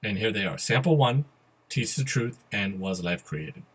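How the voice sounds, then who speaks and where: A man with a slightly husky voice presenting a step-by-step software tutorial in a casual, methodical way. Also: on the low side, animated, moderate pace. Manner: methodical, spelling out each step. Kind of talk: casual step-by-step screencast instruction. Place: voice-over to a computer screen recording.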